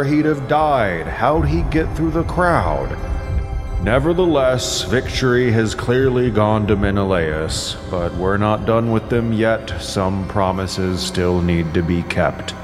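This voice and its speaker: A man playing a mighty, imperious king of gods and exasperated husband, speaking in a grand declamatory way in an epic audio drama. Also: lowish voice. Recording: long cavernous reverb, loud music behind.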